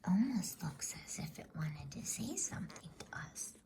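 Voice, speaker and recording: A woman voicing a curious, polite young girl in a breathy whisper for a storybook reading. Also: sits low, big pitch swings, moderate pace, warm tone, heavily acted. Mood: wondering, eager.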